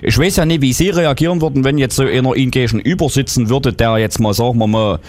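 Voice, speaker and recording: Fast-talking cheeky male radio prankster. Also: medium pitch, a little mellow. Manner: cheeky, flirtatious and insistent. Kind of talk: prank phone call, playing a pushy caller.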